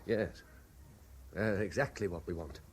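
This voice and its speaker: A man's voice: sits low, unhurried. An actor playing an obsessed, domineering man in a radio drama, insistent and intense in his delivery. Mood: curt, commanding. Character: obsessed, domineering man haunted by a dead lover. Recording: noisy 1970s radio broadcast.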